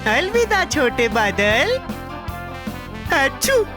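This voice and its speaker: A cheerful, excitable little boy from a children's cartoon. The voice is high and very animated, with a slow, simple childlike delivery over light music. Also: heavily acted. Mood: playful, curious.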